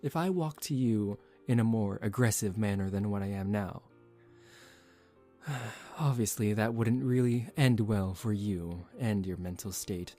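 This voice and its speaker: A man voicing a suave, condescending young male vampire in a soft-spoken ASMR roleplay, calm and teasing. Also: fairly low pitch, unhurried, natural voice. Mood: nonchalant, teasing. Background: relaxing background music.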